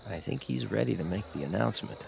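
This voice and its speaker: An actor playing an earnest, devoted and self-assured young fiancé in a radio drama, with a gravelly voice. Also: male voice, lowish voice, lively intonation, fast talker. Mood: sincere, loving.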